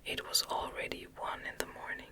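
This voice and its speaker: An ASMR narrator reading gothic fiction aloud in a soft, breathy, unvoiced whisper, deliberate and close to the microphone. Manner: slow, deliberate, soft delivery.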